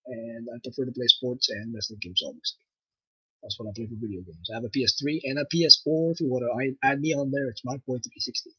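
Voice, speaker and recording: A young man with a French Canadian accent chats on his livestream, rambling and relaxed. His voice sounds bright and slightly husky. The dry, dull home mic gives it a lo-fi feel. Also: medium pitch, quick pace, no room sound. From Nova Scotia, Canada. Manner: rambling, relaxed, with frequent fillers. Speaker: livestream host. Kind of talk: casual livestream chat.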